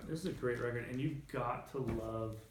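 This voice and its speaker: A man's voice: mid-range voice, normal speed, background noise. Enthusiastic man with bravado. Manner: enthusiastic, talks over others. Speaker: co-host. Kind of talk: casual chat. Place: record store. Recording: echoey record store.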